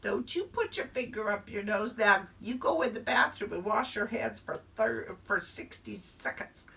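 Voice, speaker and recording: A woman's voice: mid-range voice, animated, moderate pace, gravelly, fairly bright tone, recorded from a distance. A woman storyteller voicing a bossy, cheerful female first-grade teacher giving sing-song orders. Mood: instructing. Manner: sing-song commanding classroom instructions.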